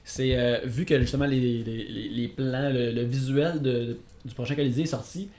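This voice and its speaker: A man's voice: medium pitch, steady tone, moderate pace, darkish tone. A man joking wryly as a podcast guest. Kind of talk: casual chat.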